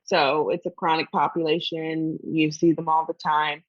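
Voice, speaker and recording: A woman who works in pediatric dialysis, talking thoughtfully with frequent "um" pauses. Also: deep voice, level tone, no echo, lo-fi sound. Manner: thoughtful, measured, with frequent "um" hesitations. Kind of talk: casual conversation on a podcast.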